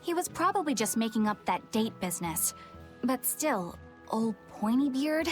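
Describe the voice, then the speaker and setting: Serious proper young woman, highish voice, disapproving, game dub, faint music. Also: sing-song, moderate pace. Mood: earnest, disapproving. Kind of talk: English anime game dub.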